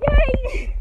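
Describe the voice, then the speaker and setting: A woman's voice: very high-pitched, very noisy. A young South African woman vlogging while hiking up a mountain trail, out of breath and laughing at her own complaints. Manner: chatty, laughing, self-mocking complaints. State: out of breath from climbing. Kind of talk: casual hiking vlog to camera. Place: outdoors on a rocky mountain trail. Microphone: phone held at arm's length.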